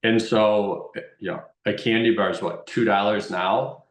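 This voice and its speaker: A man's voice: lowish voice, steady tone, measured pace. A man explaining things informally as a guest expert, repeating phrases for emphasis. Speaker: guest real estate investment expert. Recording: roomy, echoey sound.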